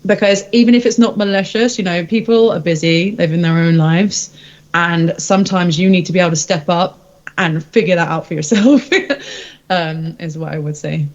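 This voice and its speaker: Low-pitched woman in candid casual chat. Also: steady tone, moderate pace, a little mellow, lo-fi sound. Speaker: singer-songwriter being interviewed.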